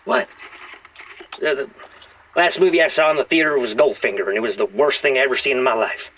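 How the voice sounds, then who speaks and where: An actor playing a volatile, foul-mouthed, aggrieved hostage-taker in an audio drama, ranting and repeating himself. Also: male voice, mid-range voice, brisk, a bit bright, natural voice. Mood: scornful, bitter. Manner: ranting, repetitive, profane, abruptly apologetic. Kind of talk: audio drama phone-call acting. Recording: dull, band-limited radio or phone sound.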